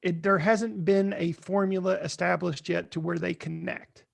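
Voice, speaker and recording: High-pitched man with a creaky edge. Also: level tone, normal speed. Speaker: podcast co-host. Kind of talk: casual panel discussion.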